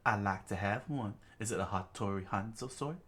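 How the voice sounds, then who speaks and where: A man's voice: on the low side, moderate pace, a bit bright, lightly acted. A man voicing a gleeful, cocky male villain who taunts in a drawl and screams his attack names. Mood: taunting drawl.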